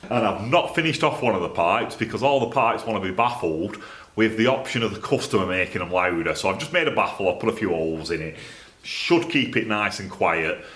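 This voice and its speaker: Low-voiced Northern English man, echoey workshop. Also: animated, a little fast, a bit bright. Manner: informal, rambling, thinking aloud. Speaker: exhaust fabricator and video presenter. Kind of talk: casual workshop talk to camera. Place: indoors in a small cluttered workshop. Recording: roomy, echoey workshop sound.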